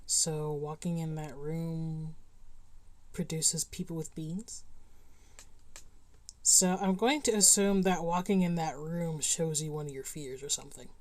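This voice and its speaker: A woman's voice: low voice, fairly even intonation, a little slow, darkish tone. A woman giving casual, understated, bemused commentary as she streams a video game. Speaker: live streamer. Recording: faint noise, game audio behind. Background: video game sound.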